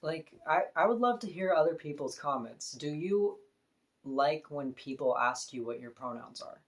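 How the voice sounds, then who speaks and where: A woman with a deep voice, talking frankly and casually to camera in an opinion vlog, now and then laughing softly. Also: animated, moderate pace. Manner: frank, conversational, with soft laughs. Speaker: vlogger.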